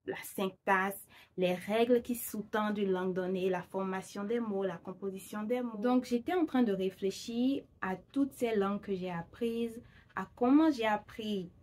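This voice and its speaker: A woman's voice: medium pitch, moderate pace, a little mellow. Female vlogger, upbeat direct address, casual tone, occasional English phrases. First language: Fon or Gun. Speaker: language vlogger. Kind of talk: casual direct-to-camera talk.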